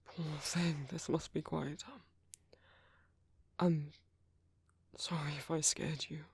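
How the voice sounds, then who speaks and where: A British man playing a gentle, protective vampire priest in an ASMR roleplay, speaking in hushed, hesitant, soothing whispers. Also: a little high, little pitch movement, takes it slowly, creaky, slightly rough, no room sound, exaggerated voice. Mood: gentle reassurance. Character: gentle, soft-spoken male vampire priest, protective caretaker.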